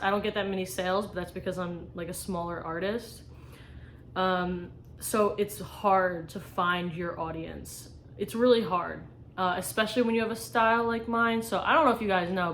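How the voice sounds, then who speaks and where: Young woman vlogger from New York, rambling and casual, swears offhand, faint hiss behind her. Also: medium pitch. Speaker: small business artist. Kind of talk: casual vlog answering tag questions.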